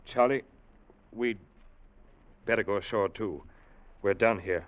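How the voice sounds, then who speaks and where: An actor playing a young ship's officer who narrates his tale in a measured, grave way, in 1940s radio drama style. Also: on the low side. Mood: consoling. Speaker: narrator and first mate Ned. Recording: dull old radio recording with music.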